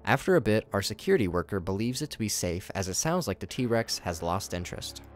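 A man's voice: on the low side, very animated, brisk. A man giving a scripted narration that recaps horror footage in a casual, wry way. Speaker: narrator of a video commentary.

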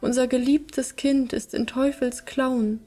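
A woman narrator plays a despairing mother, a fisherman's wife in a storybook tale. Her lament is plaintive and pitched up high. Music plays behind her. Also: fairly even intonation, slow, warm tone. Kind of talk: fairy tale audiobook reading.

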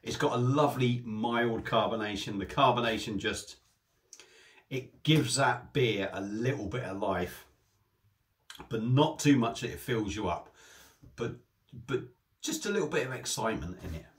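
A man's voice: mid-range voice, normal speed, long pauses. Bloke in his 40s doing a chatty beer review at home, webcam sound, keeps restarting bits. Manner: chatty, repeats himself, restarts phrases. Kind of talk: casual beer review to camera. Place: small home room with shelves.

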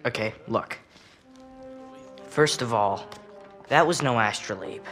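American teen street kid in a video game, wary n cocky, kinda bookish, blunt, mid pitch voice. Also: male voice, slow pace, fairly bright tone, soft background music. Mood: earnest, challenging. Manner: guarded, blunt, earnest. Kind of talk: naturalistic video game acting.

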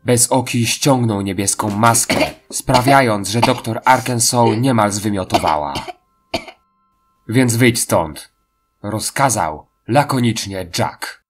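A man's voice: fairly low pitch, measured pace. A man reading scripted horror narration in a dramatic storytelling style. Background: faint music.

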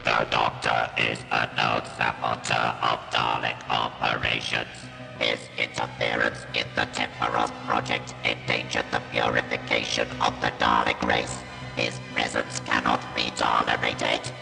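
A man's voice: rumbling low, completely flat delivery, a little slow, gravelly, exaggerated voice. A man playing a ruthless, cold alien commander in a sci-fi audio drama, with grating, inhuman rigid delivery. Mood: cold menace. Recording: music behind the voice.

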